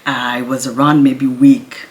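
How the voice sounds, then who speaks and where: A woman, her Kinyarwanda, chatting casually to camera at home, speaking softly and laughing now and then. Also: rumbling low, flat delivery, unhurried. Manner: speaks softly, laughs often, restarts words. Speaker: vlogger. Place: home, indoors.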